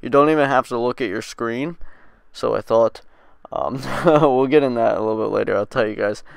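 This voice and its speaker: A man's voice: medium pitch, moderate pace, gravelly, smooth, dry recording, clean studio sound. Stuffy-nosed young man narrating gameplay. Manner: laid-back, rambling, frequent ums and swearing. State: congested, sniffly from a cold. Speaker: gaming channel host. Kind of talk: casual voice-over game commentary.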